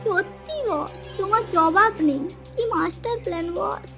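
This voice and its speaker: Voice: extremely high pitch, moderate pace, vocal fry, pushed and bright, faint music behind, exaggerated voice. An actor playing a small, whiny, cheeky and boastful henchman in a comedy cartoon. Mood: fawning, urgent. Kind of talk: Bengali comedy cartoon dubbing.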